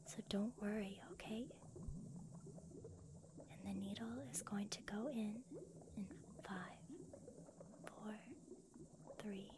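A woman playing a gentle, soothing young healer in a whispered ASMR roleplay. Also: mid-range voice, level tone, slow, pauses often, noisy background, natural voice. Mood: reassuring.

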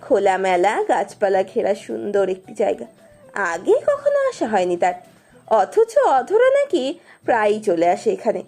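Lady reading a romance story, mellow and very up-and-down expressive delivery, soft music behind. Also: medium pitch, normal speed. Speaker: story narrator.

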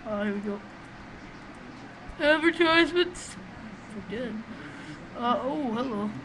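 A man with a small, high voice giving rambling, profane, excitable commentary while playing a game, recorded on a webcam microphone. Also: slow pace. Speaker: gamer doing a let's play. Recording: noisy home recording.

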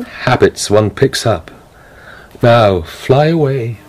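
Grave, formal priest. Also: male voice, mid-range voice, lively intonation, a little mellow. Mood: solemn. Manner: formal, measured, polite. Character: grave, courteous male priest, quietly calculating. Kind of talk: radio drama acting.

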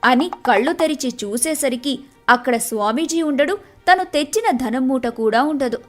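High-pitched woman narrating a story. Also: expressive, normal speed, faint music behind. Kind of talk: scripted children's story narration.

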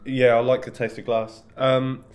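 A man's voice: mid-range voice, little pitch movement, normal speed, a little mellow, soft background music. A man co-hosting a podcast, chatting casually with friends. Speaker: podcast co-host. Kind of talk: casual banter among friends.

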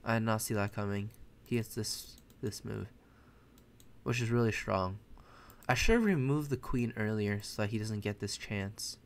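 Young American chess streamer with Mandarin as his first language; relaxed live commentary, talks through moves and chats with viewers. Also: on the low side, moderate pace, a little hiss or hum. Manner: thinks aloud, chatty, self-correcting. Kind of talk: casual live commentary while playing. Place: bedroom at home, indoors.

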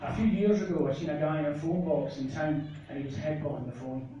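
A middle-aged man from northeast Scotland with a northeast Scottish accent, doing a deadpan, self-mocking stand-up comedy set in a small club. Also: on the high side, quick pace, highs cut off, slight background noise. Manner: deadpan, self-mocking, gestures a lot while talking. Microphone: handheld mic, filmed from far back in the crowd. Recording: echoey club from far off, crowd laughter.

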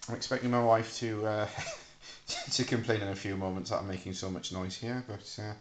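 A middle-aged British man casually demonstrating a homemade device in a hesitant, halting, unscripted way. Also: on the low side, flat delivery. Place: small hard-walled room indoors. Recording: echoey room, clicks and faint hiss.

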